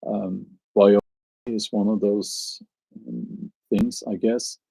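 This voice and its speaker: A man's voice: lowish voice, level tone, slow, gravelly, dark tone, husky, no room sound, poor audio. A man with a Norwegian accent, chatting on a live stream and answering his viewers, hesitant and musing as he searches for words. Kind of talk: casual live stream chat.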